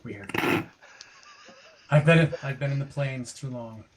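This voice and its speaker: A man's voice: mid-range voice, unhurried, noisy background, natural voice. A man playing a practical, laconic guide from the plains in a tabletop game, plain-spoken and tentative. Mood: puzzled.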